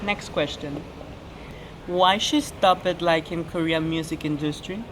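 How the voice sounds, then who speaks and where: A woman's voice: sits low, unhurried. An eighteen-year-old woman hosting a casual Q&A, chatty and giggly, with loose English grammar. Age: teens. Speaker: channel host. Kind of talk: casual Q&A chat. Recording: noisy background hiss.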